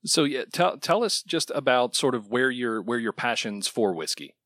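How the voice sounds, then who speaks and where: A man's voice: medium pitch, lively intonation, moderate pace, a bit bright, no echo, clean studio sound. A man hosting a podcast, talking loosely and casually with lots of fillers as he chats with his guests. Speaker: podcast host. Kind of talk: casual interview chat.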